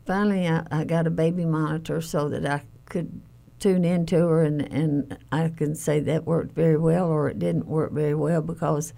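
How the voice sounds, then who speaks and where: An older woman shares recollections in conversation as an interviewee. Her voice is low and mellow, with a marked gravelly creak. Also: fairly even intonation, normal speed, few pauses. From Alabama, United States. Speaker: interviewee, family caregiver.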